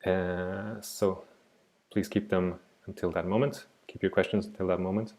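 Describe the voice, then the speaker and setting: A man's voice: low voice, level tone, moderate pace, gravelly. A man in his thirties from Switzerland, teaching an online art class in a reflective, digressive way over a laptop mic. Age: 30s. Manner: reflective, digressive, soft-spoken. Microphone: laptop mic on a video call.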